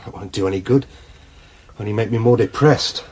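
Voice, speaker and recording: A man's voice: on the low side, a little fast, a little mellow, husky. An actor playing an anxious, distrustful British man in a radio drama, agitated and hesitant in his delivery. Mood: anxious, gloomy. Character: anxious, distrustful man fretting over a missing woman friend.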